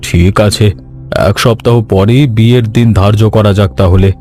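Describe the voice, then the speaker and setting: A man playing a dignified, generous village father in an animated story, decisive and measured in manner. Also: lowish voice, natural voice. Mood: commanding, generous. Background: background music.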